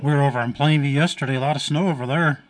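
Joking radio host. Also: male voice, fairly high pitch, rapid-fire. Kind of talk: casual radio chat.

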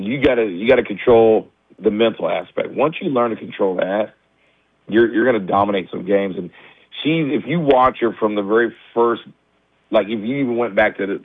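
A man, a high school basketball coach, talking candidly in a radio interview with lots of restarts; his voice is a little raspy as he recovers from the flu. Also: no room sound. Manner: candid, many restarts and fillers. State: recovering from flu, low energy. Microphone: phone line. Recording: tinny dry phone line.

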